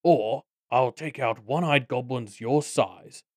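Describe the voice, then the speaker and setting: A man voicing a pompous, menacing goblin boss in an audiobook, with wordy, deliberate speech. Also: mid-range voice, a little mellow, no room sound, studio quality. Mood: threatening, smug. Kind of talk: audiobook character acting.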